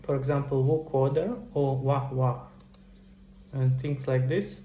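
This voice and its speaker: A man's voice: medium pitch, steady tone, unhurried, highs cut off. A middle-aged man casually explaining game development steps in a screen-recorded tutorial, talking into a headset indoors. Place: small indoor room at a computer. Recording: roomy echo, faint background noise.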